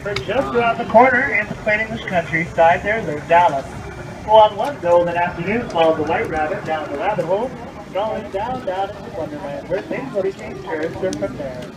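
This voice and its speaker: A man's voice: sits high, animated, fairly quick, noisy background. A man guiding an outdoor boat ride, telling fairy-tale stories in a scripted storybook spiel with playful asides. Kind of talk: scripted tour narration.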